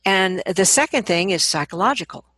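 Husky-voiced woman explaining as a vocal coach. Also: mid-range voice, animated, normal speed, sharp tone. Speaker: vocal coach, podcast guest. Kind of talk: conversational explanation in an interview.

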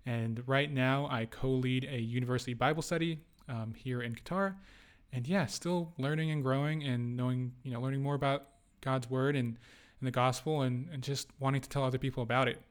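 A man's voice: medium pitch, fairly even intonation, a little fast. A young adult man co-hosting a casual podcast, talking in a playful, joking way. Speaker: podcast co-host. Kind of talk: casual chat.

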